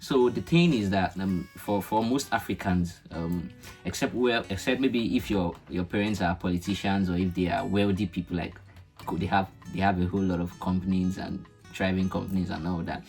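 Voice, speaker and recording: A man's voice: low-pitched, moderate pace, a little mellow, soft background music. A young man with a Nigerian accent, a guest in a casual chat, explaining things earnestly step by step. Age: young adult.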